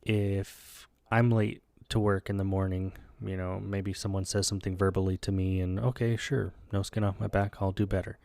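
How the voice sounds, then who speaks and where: A man's voice: sits low, level tone, normal speed, creaky, few pauses, darkish tone, dry recording. A man whose first language is Spanish, co-hosting a podcast in a wry, rambling casual chat. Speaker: podcast co-host.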